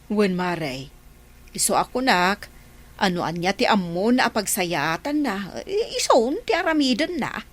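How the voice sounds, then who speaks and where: A woman's voice: mid-range voice, sing-song, measured pace. A woman playing an inquisitive village neighbour in a comedy radio drama, with an Ilocano accent. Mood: concerned.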